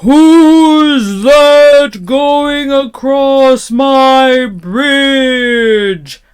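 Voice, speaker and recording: A woman voicing a big bullying male troll from a storybook, loud and menacing, with drawn-out words. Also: mid-range voice, smooth, close to an everyday voice. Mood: roaring challenge. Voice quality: loud, forceful.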